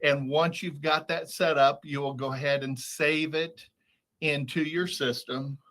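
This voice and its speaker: A man giving a step-by-step, matter-of-fact software walkthrough as a support trainer on a video call. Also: on the high side, steady tone, measured pace. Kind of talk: screen-share software walkthrough, instructional.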